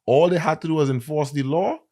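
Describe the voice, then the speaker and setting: A man with a Trinidadian accent, a content creator and comedian, chatting in an interview and telling stories with lively emphasis. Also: a little high, quick pace. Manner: animated, emphatic storyteller. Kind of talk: casual interview chat.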